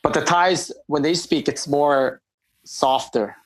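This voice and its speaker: A man from Laos, guest on a casual podcast chat, talking in a halting way with many restarts. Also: a little high, fairly even intonation, rough recording.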